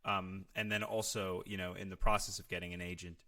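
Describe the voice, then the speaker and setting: A man with a low, forward-sounding voice chats casually as an actor seeking advice. He builds his questions hesitantly, circling toward the point in several steps. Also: little pitch movement, fairly quick, sharp tone. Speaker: actor asking for career advice. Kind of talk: casual question-and-answer chat.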